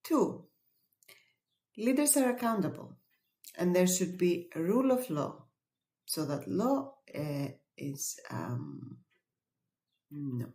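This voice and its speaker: Woman explaining things slowly, kinda low voice, roomy echo. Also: sing-song, warm tone. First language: Greek. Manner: measured and explanatory, pauses with fillers. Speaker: webinar presenter. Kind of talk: educational webinar talk. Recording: echoey room.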